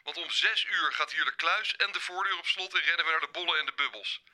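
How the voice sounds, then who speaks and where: A man's voice: on the high side, fast, sharp tone. A man playing an awkward, rambling bank official with false joviality in a comedic radio play. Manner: hesitant, digressive, officious.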